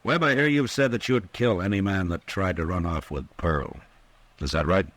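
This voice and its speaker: A stern frontier marshal in an old-time radio western. His American voice is very low, and he talks in terse, commanding lines with steady authority. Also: moderate pace. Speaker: lead actor and narrator. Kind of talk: 1950s radio western acting.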